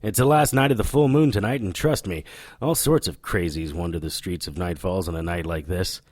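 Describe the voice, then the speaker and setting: An actor playing a cocky, sardonic occult investigator in an audio drama, with a wry, taunting delivery. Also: male voice, low-pitched, brisk, darkish tone, dry recording, clean studio sound. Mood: wry, businesslike. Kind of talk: audio drama acting with noir-style narration.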